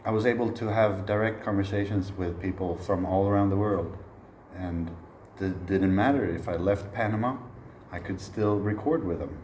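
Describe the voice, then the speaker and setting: Deep-voiced man teaching a relaxed masterclass. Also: roomy sound, slight background noise. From Sweden. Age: 30s. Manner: relaxed, reflective, frequent "uh" pauses. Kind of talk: informal spoken lecture.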